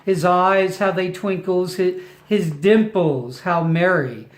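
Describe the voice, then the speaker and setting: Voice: medium pitch, slow pace. An older person reading a storybook aloud to a baby, affectionate and playful, with chatty asides and emphatic delivery. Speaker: family member reading to a baby. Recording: roomy home recording with echo.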